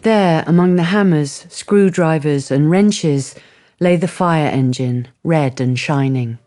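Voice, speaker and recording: A man's voice: fairly high pitch, slow, dark tone. A man narrating a radio drama in a scripted storytelling style.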